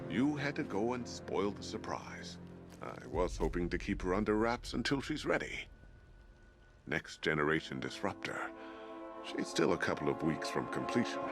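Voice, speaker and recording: An actor playing a genial older tech inventor in a video game, courteous and dryly playful, with wry loyalty. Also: male voice, lowish voice, creaky. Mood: wry, pleased. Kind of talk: video game cutscene acting. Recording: game music behind the voice.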